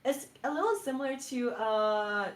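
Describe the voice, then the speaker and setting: A woman's voice: a little high, lively intonation, normal speed. A young woman, a native Cantonese speaker with a Cantonese-influenced English accent, chatting casually on a stream with frequent fillers and laughs. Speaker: VTuber guest.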